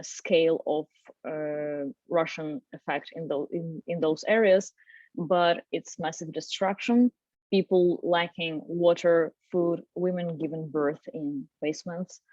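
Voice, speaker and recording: Female panelist with a Ukrainian accent, lower voice, deliberate delivery, dry lo-fi audio. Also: mellow, no room sound. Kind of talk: panel discussion answer. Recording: dry, dull lo-fi sound.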